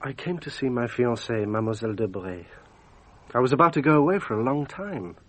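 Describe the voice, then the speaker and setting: Man playing touchy young gent in a radio play, husky mid voice, clipped and defensive. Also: medium pitch, expressive, a little fast, close to an everyday voice. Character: proud, touchy young gentleman, a rich man's son. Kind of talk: radio drama acting.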